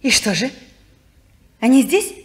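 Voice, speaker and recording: A woman's voice: sits high, very animated, unhurried. A playful, curious young girl. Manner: eager, playful.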